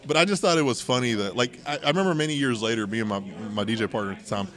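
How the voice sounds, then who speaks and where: A man's voice: medium pitch, lively intonation, very fast, a bit bright. Man, podcast host, casual storyteller, informal phrasing, mid-range voice, acts out dialogue. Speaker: podcast host telling personal stories. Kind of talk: casual anecdotal storytelling chat.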